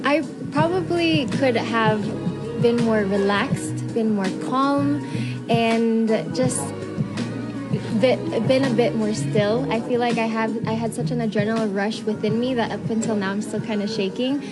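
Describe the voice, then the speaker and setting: A young woman with a Filipino accent answering pageant interview questions in an earnest, deliberate way, slipping in Tagalog phrases. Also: fairly high pitch, over loud music. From Philippines. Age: young adult. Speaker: beauty pageant contestant. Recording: clearly noisy room ambience.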